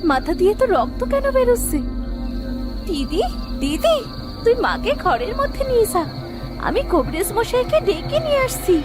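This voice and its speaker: A woman voicing an eager, caring young village daughter in a cartoon story, with earnest, expressive delivery. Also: extremely high pitch, normal speed, long pauses, over music. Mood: worried. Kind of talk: Bengali animated moral story dubbing.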